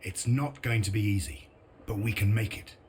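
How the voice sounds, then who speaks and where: An actor playing a grim, sardonic resistance commander in a video game, with a blunt, dry, determined delivery. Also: male voice, fairly low pitch, moderate pace, darkish tone, a little hiss or hum, natural voice. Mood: grim resolve. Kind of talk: video game cutscene narration and briefing.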